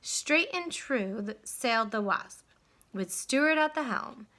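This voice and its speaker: A woman narrates a children's storybook with dramatic, deliberate phrasing. Her voice is bright and fairly high, and she takes her time through each expressive line. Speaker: storybook reader.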